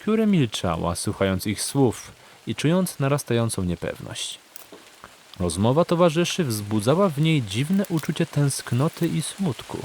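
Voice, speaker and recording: A male narrator with a medium pitch and lively intonation delivers scripted fantasy storytelling in a dramatic style. Faint ambient noise sits behind him. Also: measured pace.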